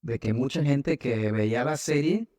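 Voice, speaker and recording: A Mexican man hosts a podcast in a casual, chatty style. His voice has a middle pitch. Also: unhurried, a little mellow. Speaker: podcast host. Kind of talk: casual chat.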